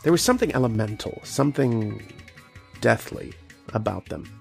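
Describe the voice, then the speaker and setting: A man's voice: medium pitch, expressive, normal speed, gravelly, faint music behind. A man playing a learned, patient archaeologist who explains and narrates in an old-time radio horror drama style. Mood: uneasy, brooding. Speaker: lead actor and narrator.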